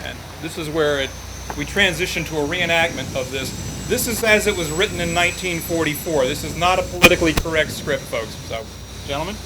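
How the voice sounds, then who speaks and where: A man introducing a radio-play reenactment to a live audience in a relaxed, conversational way. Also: a little high, brisk. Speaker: family member presenting the event. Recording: echoey noisy space.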